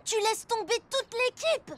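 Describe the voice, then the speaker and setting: A woman voicing an earnest, friendly child in a French cartoon dub, expressive and small-voiced. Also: extremely high pitch, a little slow, exaggerated voice. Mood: annoyed.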